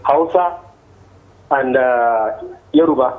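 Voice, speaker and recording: A man from Nigeria with a Nigerian accent calling into a live TV quiz, answering hesitantly with many pauses. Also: mid-range voice. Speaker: phone-in caller. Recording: tinny phone line.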